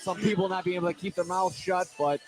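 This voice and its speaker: High-voiced man calling basketball over loud music. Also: quick pace. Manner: excitable, stumbles and repeats words. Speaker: play-by-play sports announcer. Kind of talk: live basketball radio commentary. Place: loud high school gym fieldhouse. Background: loud thumping music.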